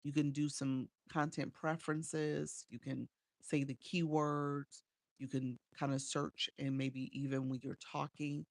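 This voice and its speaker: A woman's voice: extremely low pitch, gravelly, no room sound. A woman from Chicago coaching live streamers in a casual livestream chat. She reads viewer comments aloud and answers them, with frequent "um"s, on a small desk microphone at home.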